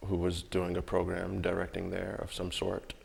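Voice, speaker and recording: A man's voice: sits low, monotone, moderate pace, gravelly, darkish tone. A man interviewing someone in a casual, conversational way, often chiming in with affirmations and repeating his words.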